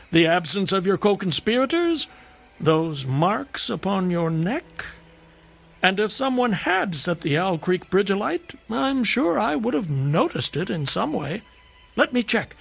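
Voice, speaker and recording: An actor playing a wry, courteous, needling gentleman in a radio drama, with ornate and theatrical phrasing. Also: high voice, gravelly, slightly rough, full character voice. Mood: amused, probing.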